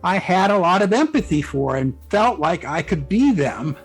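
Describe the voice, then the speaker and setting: A man with a fairly high voice talks casually as a guest. He is hesitant and modest, circling around his points, with soft music behind him. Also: sing-song, normal speed, slightly rough, poor audio. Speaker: guest writer. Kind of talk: casual interview chat.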